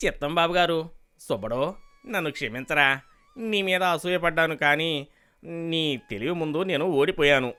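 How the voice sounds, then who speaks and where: A man voicing an envious but deferential village servant, exclamatory, in an animated moral story. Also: fairly high pitch, normal speed, long pauses, clean tone. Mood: contrite.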